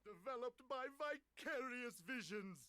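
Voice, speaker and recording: A man's voice: extremely high pitch, expressive, sharp tone, clear, resonant tone, no echo, studio quality, exaggerated voice. A man voicing a showy male announcer in a video game intro, drawing out each phrase with big flair. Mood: hyped. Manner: drawn-out, showy delivery.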